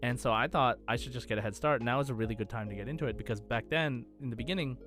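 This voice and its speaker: A man's voice: medium pitch, rapid-fire, a bit bright, soft background music. A man co-hosting a gaming podcast in casual, enthusiastic, rambling chat. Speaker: podcast co-host and game streamer.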